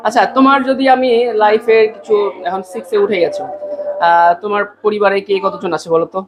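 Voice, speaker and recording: Fast-talking Bangladeshi man hosting an interview. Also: high voice, noisy background. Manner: repeats answers back, mixes in English phrases. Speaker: podcast host interviewer. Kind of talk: casual interview, questioning a child.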